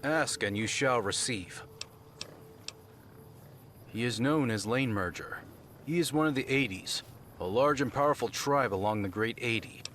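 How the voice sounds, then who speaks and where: Man voicing pious game trader, sanctimonious, formal sermon-like delivery, middling pitch, light noise. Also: measured pace, pauses often, a bit bright, lightly acted. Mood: pious, solemn. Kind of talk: video game dialogue acting.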